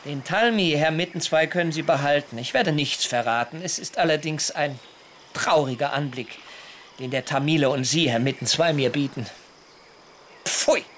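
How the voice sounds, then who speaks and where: An actor in a radio play playing a haughty, fanatical German hermit with pompous, mannered formal speech. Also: male voice, fairly high pitch, moderate pace, fairly bright tone, slight background noise, lightly acted. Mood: scornful.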